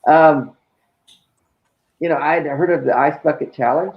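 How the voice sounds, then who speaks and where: A man with a raspy voice, chatting casually as a guest. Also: fairly high pitch, normal speed, lo-fi sound. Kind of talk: casual chat.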